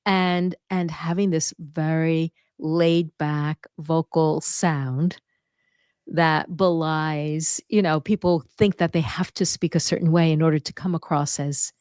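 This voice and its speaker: Soft-spoken woman interviewer, lowish smooth voice, thoughtful. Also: no room sound, clean studio sound. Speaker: podcast host. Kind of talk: conversational interview.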